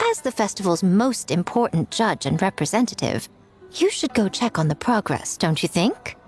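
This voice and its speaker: An actress voices a poised, sly woman running a festival in an anime game. Her lively pitch swings as she teases playfully and takes her time. Music plays behind her. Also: lightly acted. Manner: playful, leisurely teasing.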